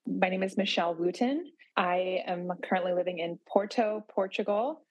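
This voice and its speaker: A woman in her thirties from Idaho, a podcast guest talking thoughtfully about moving abroad, pausing and restarting as she gathers her thoughts. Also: lowish voice, dry recording, rough recording. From Boise, Idaho area, USA. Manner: thoughtful, with frequent restarts and hedges. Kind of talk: reflective casual conversation.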